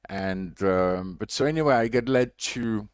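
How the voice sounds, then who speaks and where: A man's voice: on the low side, measured pace, clean tone, dry recording, clean studio sound. A man from Belgium, a diver running a reef conservation project, tells a long story in a casual livestream update, rambling with lots of fillers and restarts.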